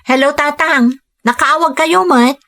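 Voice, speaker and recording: A woman's voice: high-pitched, measured pace, fairly bright tone. A woman with a Filipino accent playing a dutiful, patient young daughter working abroad in a radio drama, speaking in an earnest, conversational way. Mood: uneasy, restrained. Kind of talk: Ilocano radio drama acting.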